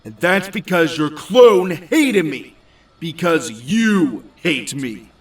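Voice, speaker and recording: High, swooping young male bully, acted by a man. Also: animated, takes it slowly, distant mic. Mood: bitter, taunting. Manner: taunting, aggrieved. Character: hot-headed young male bully, vengeful and cowardly. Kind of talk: audio drama acting.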